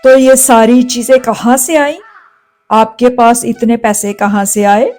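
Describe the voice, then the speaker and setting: A woman's voice: on the high side, fairly even intonation, measured pace, darkish tone, soft background music, voice pushed down, natural voice. A woman voicing a stern, authoritative police officer bird in a cartoon story, questioning in a firm manner. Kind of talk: Hindi cartoon story dialogue.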